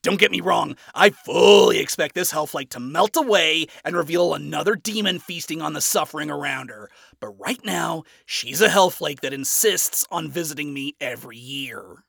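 A man's voice: a little high, expressive, normal speed, vocal fry, few pauses, fairly bright tone, dry recording, studio quality, pitched above the performer's own voice, exaggerated voice. A man voicing a brash, foul-mouthed, scornful man with a blunt, sarcastic way of talking in a story. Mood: blunt, flat.

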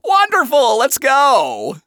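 A smug, flamboyant king of hell voiced by a woman, swooping high with exaggerated showmanship. He is a theatrical father figure in an audio story, recorded dry. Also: very animated, a little slow, fairly bright tone, no room sound, full character voice. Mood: delighted.

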